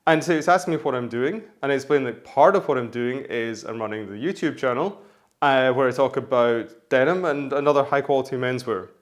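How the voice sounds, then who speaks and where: A man with a hoarse voice from a recent flu talks through his menswear vlog. His pitch sits in the middle range, and his delivery is chatty and rambling, full of little restarts and asides. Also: a little fast. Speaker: menswear vlogger. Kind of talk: casual vlog talk.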